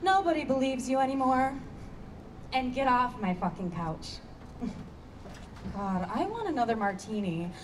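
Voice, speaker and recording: A woman's voice: on the high side, expressive, measured pace, long pauses. A woman acting a sardonic, blunt wife who likes her martinis, in a staged play reading. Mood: caustic. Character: skeptical, caustic, martini-drinking wife. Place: small outdoor stage. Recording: outdoor stage, faint background noise.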